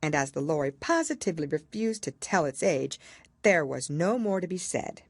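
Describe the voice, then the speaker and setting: Female audiobook narrator, expressive storybook read with plenty of pitch movement, very dry recording. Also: normal speed, dry recording.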